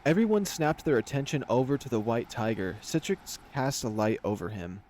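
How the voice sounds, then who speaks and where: A man's voice: mid-range voice, lively intonation, moderate pace, a little mellow, slight background noise. A man reading a fantasy visual novel aloud as a dramatic narrator, voicing the story for viewers.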